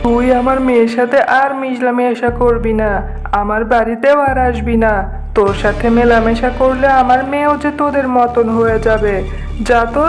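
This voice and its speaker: A woman's voice: a little high, steady tone, moderate pace, faint music behind, lightly acted. A woman voicing a haughty, stingy tigress mother in a cartoon, bossy and scornful. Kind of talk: cartoon voice acting.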